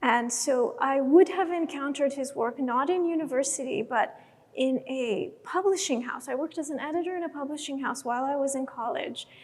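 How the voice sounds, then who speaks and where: A woman from Iran speaks on an art panel in a measured way, her voice set high. The sound rings out with long reverb in a gallery space. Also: a little mellow. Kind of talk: panel discussion.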